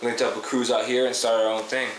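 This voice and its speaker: A man's voice: mid-range voice, flat delivery, fast talker. A man in his twenties who grew up in Canada, speaking earnestly as a rapper in an interview. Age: 20s. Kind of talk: casual interview talk. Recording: echoey room, faint noise.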